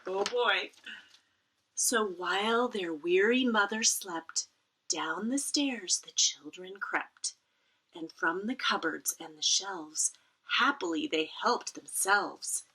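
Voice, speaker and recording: A woman reads a children's story aloud with lively swings in pitch and dramatic emphasis. She takes her time over the lines, and her bright voice has a breathy, husky edge. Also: takes it slowly, low-quality recording. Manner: animated, dramatic emphasis with playful asides. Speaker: teacher reading a picture book aloud.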